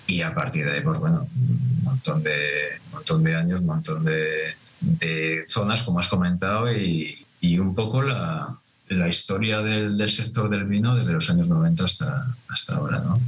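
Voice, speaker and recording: A man's voice: deep voice, fairly even intonation, normal speed, rough recording. A middle-aged man from Madrid with a Madrid Spanish accent, a winemaker answering interview questions in a reflective, hesitant way with many restarts. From Madrid, Spain.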